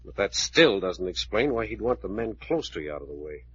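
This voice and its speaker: Fast-talking, low-voiced hard-boiled detective. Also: male voice, sing-song, fairly bright tone. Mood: insistent, forceful. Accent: American. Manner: brisk, blunt, questioning. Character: hard-boiled, decisive male private detective in charge. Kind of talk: old-time radio mystery acting. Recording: dull, noisy old radio recording.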